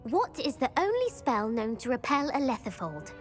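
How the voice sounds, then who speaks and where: A woman's voice: on the high side, wildly swooping pitch, normal speed, over music. A woman playing an eager, bookish schoolgirl quizmaster in a video game, precise and enthusiastic. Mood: enthusiastic, instructive. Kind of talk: video game character acting.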